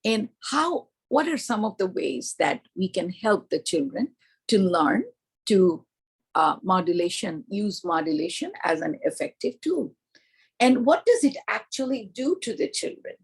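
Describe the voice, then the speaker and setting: A woman gives an instructional talk for teachers. Her voice is somewhat raspy and breathy, sitting at an ordinary middle pitch. She explains point by point, leaning on key words to make them land. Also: big pitch swings, measured pace. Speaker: early childhood teacher trainer. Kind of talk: explanatory lesson presentation.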